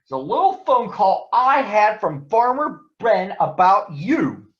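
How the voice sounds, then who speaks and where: A man voicing a big, gruff papa bear who growls and scolds forcefully in a children's storybook. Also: sits high, big pitch swings, slow pace, pitched above the performer's own voice, exaggerated voice. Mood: angry scolding. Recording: dull, echoey room.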